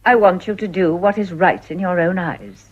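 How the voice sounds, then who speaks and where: An actress playing a shrewd, composed middle-aged mother in a period radio drama, with measured, probing upper-class English speech. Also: fairly low pitch, a little mellow, natural voice. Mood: shrewd, firm. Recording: dull, lo-fi radio recording.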